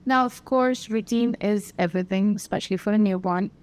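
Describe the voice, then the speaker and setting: A woman hosting a podcast, reading her introduction and questions in a smooth, clear way. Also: medium pitch, brisk, a little mellow. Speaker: podcast host. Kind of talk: scripted interview questions.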